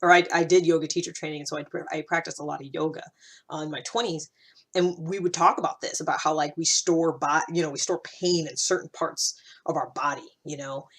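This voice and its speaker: Low-voiced woman chatting informally. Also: fairly even intonation, brisk, fairly bright tone. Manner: rambling, conversational, self-interrupting. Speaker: vlog host. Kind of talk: casual reflective monologue to viewers.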